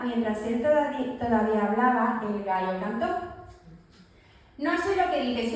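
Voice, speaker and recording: A woman preaching a sermon in church, telling the story vividly and acting out the quoted lines. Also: a little high. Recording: echoey church hall, voice from far off.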